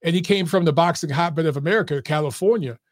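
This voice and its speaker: Man, hoarse, slightly high voice, casual livestream host, slangy and rambling, dry close sound. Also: fast, no room sound. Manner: chatty, loose, slangy, with many fillers and restarts. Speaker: boxing commentary channel host.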